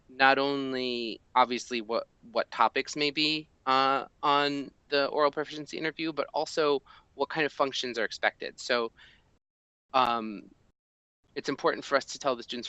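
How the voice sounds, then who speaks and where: A man in his thirties, a language teacher, talking through an assessment form at his desk, thinking aloud with many ums and pauses. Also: medium pitch, sharp tone, no echo, rough recording. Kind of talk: screen-recorded walkthrough of an assessment. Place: small indoor room at a desk.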